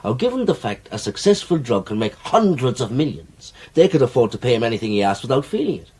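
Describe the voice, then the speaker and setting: An actor playing a calm, reassuring British man in a radio drama, reasoning things out matter-of-factly. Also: mid-range voice, quick pace, husky. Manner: matter-of-fact, explanatory. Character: calm, reassuring, reasoning male amateur investigator friend.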